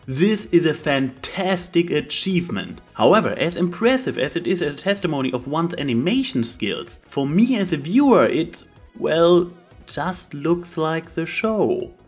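Male video essayist, voice on the higher side, emphatic opinion commentary over background music. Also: sing-song, measured pace, husky. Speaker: video host. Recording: music behind the voice.

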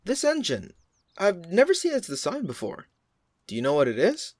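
A man voicing a courteous, brisk and sly railway owner. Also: sits high, huge pitch swings, a little mellow, no echo, voice pushed up, full character voice. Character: polite scheming male railway owner.

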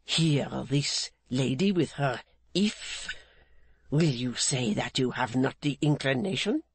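A man voicing a menacing, swaggering male blackmailer who talks in a slow, mocking, insolent way. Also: medium pitch, animated, creaky, husky, full character voice. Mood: sneering.

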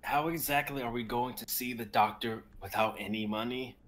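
Man as a bright-voiced wary wounded traveler. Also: mid-range voice, moderate pace, slight background noise, lightly acted. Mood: wary, dry. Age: 20s. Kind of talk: amateur dramatic script reading.

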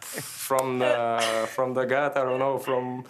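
A man's voice: medium pitch, level tone, slow pace, background noise. A man in his twenties, a tennis player, talking through a match after it ends, searching for words, restarting sentences and laughing as he goes, heard over a remote link from a press room. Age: 20s. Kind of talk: post-match interview. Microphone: remote video link, head and shoulders.

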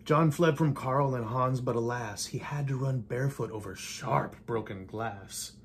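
An older man gives a theatrical read-aloud of a rhyming picture book. His delivery is measured, with playful emphasis on the verse. He is recorded at home, with clear noise in the room. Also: medium pitch. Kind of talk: rhymed storybook read-aloud. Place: indoors in a home living room.

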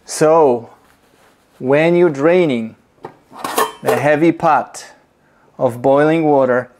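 A middle-aged man, teaching slowly and deliberately while he demonstrates in a home kitchen. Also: on the high side, a little mellow, a little hiss or hum. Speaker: movement teacher. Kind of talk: instructional demonstration.

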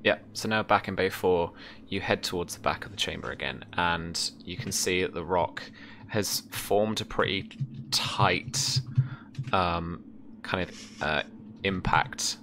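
Low-voiced, halting male game master. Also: background noise. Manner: halting, deliberate description. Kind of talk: narrating a tabletop game.

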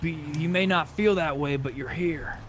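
A man with a slightly high voice, roleplaying in an online game. He talks bluntly and swears freely, with faint game noise behind him. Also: moderate pace. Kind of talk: improvised in-character game chat.

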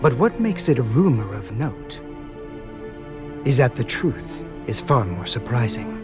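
Polite young scientist in an anime game, measured n formal, solemn, music playing behind. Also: male voice, medium pitch, big pitch swings. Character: soft-spoken, solemn, polite young man, a feared scientist. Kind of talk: dubbed animated game cutscene acting.

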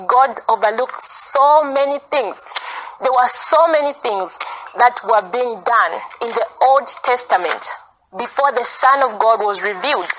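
A woman's voice: fairly high pitch, fairly bright tone, low-quality recording. A woman giving an informal, insistent sermon, repeating phrases for emphasis. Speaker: preacher. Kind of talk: informal sermon, earnest exhortation.

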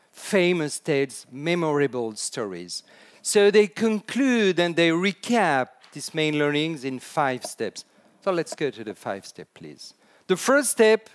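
A middle-aged man with a French accent giving an animated conference talk to an audience, chatty and now and then restarting his sentences. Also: fairly high pitch, clear, resonant tone. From France. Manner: animated, chatty, laughs at own jokes, some hesitant restarts. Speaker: conference speaker. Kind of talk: keynote talk on storytelling. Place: large indoor conference stage.

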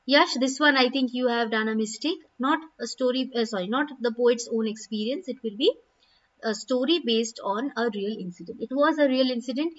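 A woman's voice: fairly high pitch, moderate pace, a little hiss or hum. A young woman teaching an English literature class online, patiently going over quiz answers and calling on students by name. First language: not Hindi. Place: small room at home. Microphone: laptop webcam mic. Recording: dull, distant laptop audio.

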